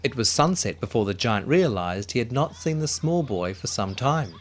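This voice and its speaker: A man narrating a children's story in an expressive, dramatic way, with music behind him. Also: medium pitch, normal speed, slight background noise.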